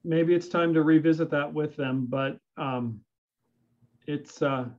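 Male staffer, fairly high voice, hesitant meeting talk, lo-fi call sound. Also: fairly even intonation, unhurried, darkish tone. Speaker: city public works staff member. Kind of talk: measured meeting discussion. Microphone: video call. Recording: dull, lo-fi video call audio.

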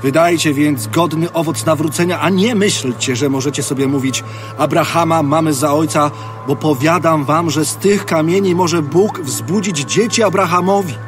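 Male actor as fiery preaching prophet, higher-pitched, declamatory, stern, audio drama, clear music bed. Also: moderate pace, natural voice. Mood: stern rebuke.

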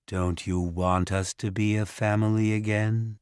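A man voicing a falsely loving father in a storybook, apologetic and coaxing in a measured way. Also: fairly low pitch, level tone, darkish tone, smooth, dry recording, clean studio sound, lightly acted.

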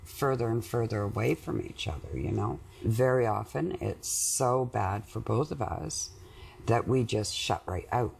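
A woman in her fifties with a husky, gravelly voice, talking candidly and emphatically about personal matters in conversation. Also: extremely low pitch, measured pace, darkish tone, slight background noise. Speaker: client in couples therapy interview. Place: small indoor TV studio living-room set.

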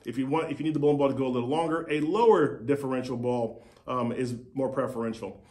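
A man in his forties, a company head, casually explaining bowling equipment to camera while demonstrating with props at a desk in a bright showroom. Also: medium pitch, steady tone, quick pace. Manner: demonstrates with props, conversational, repeats points.

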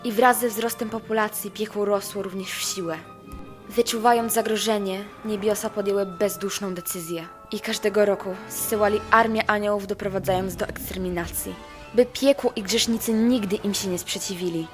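A woman voicing an idealistic, anxious young princess of hell in an animated fan dub, earnest and expressive. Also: mid-range voice, moderate pace, faint music behind, close to an everyday voice. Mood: solemn storytelling. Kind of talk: fan-dubbed animation, storybook narration and dialogue.

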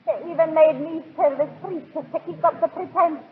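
An actress playing the frightened, bitter wife of an evil ruler in melodramatic 1940s radio style. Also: female voice, high-pitched, fairly even intonation, a little fast, lightly acted. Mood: bitter, fearful. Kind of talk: 1940s radio drama acting. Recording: tinny 1940s radio recording, faint noise.

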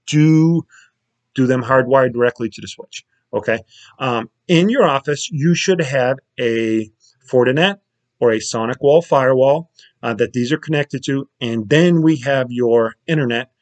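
A man's voice: mid-range voice, unhurried. A man in his thirties giving a casual screen-share tutorial from a small office over a webcam mic, plain-spoken and often checking in with "okay?" and "right?". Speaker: security installer giving a tutorial.

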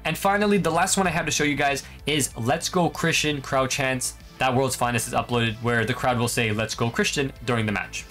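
A man's voice: medium pitch, a little fast, hardly any pauses, fairly bright tone. A man in his twenties from Canada presenting a video game update to viewers from a bedroom. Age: 20s. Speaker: gaming video creator and host. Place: small bedroom, indoors. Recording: music behind the voice.

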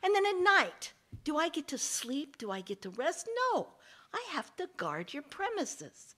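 An indignant farm dog in a storybook fable, acted by a woman, airing grievances with bitter sarcasm. The delivery is high, bright and full of exasperated swings. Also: very animated, a little slow, heavily acted.

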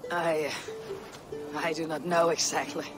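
A proud viking fighter lady for a game, low husky voice, clipped n dry, music behind. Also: level tone, measured pace. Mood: polite, hesitant. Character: stoic, proud female Viking warrior, wry and probing. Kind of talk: video game cutscene acting.

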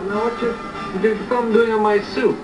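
A man's voice: high voice, flat delivery, normal speed, recorded from a distance, close to an everyday voice. A man playing a naive, mild-mannered cop in a film comedy, hesitant and stammering, on echoey playback. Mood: flustered complaint. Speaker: actor playing a police patrolman. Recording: echoey TV playback from afar, music behind.

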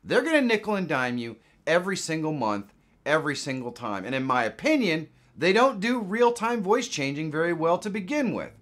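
A man in his fifties with an American accent, giving a casual software tutorial in a plain-spoken, conversational way. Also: a little high, big pitch swings, brisk. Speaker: tutorial presenter. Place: small indoor home studio.

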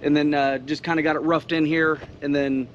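A man's voice: little pitch movement, fairly quick, highs cut off. A man, a builder casually explaining his work outdoors. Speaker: grain bin builder. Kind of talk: casual explaining on a job site. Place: outdoors on a farm building site. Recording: clear outdoor machinery rumble and noise.

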